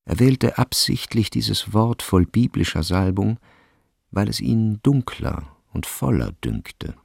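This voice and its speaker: Low, gravelly male radio drama narrator. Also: sing-song, takes it slowly, a little mellow, no echo, clean studio sound. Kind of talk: scripted literary narration.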